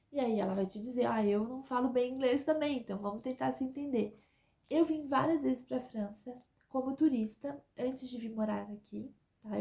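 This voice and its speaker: A woman's voice: high voice, warm tone. A woman giving chatty advice to her viewers in a casual vlog, often checking in with "tá?" and "né?". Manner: chatty, repeats "tá?" and "né?". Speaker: vlogger living abroad.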